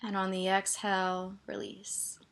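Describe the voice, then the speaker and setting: Slow-paced woman guiding a meditation. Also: mid-range voice, monotone. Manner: gentle, soothing instruction with pauses. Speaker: meditation teacher. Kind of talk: guided body scan meditation.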